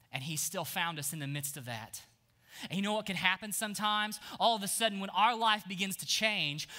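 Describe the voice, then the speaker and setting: A man in his thirties preaching an energetic sermon on a church stage, swinging from hushed lines to shouts. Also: high voice. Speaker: pastor.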